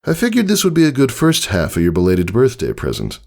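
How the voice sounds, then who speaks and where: Man voicing an upbeat, proud pony dad, lifted pitch. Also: wildly swooping pitch, fairly quick, vocal fry, a little mellow, full character voice. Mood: proud, cheerful. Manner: casual, teasing, upbeat. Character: proud, easygoing father pegasus pony, playful and encouraging.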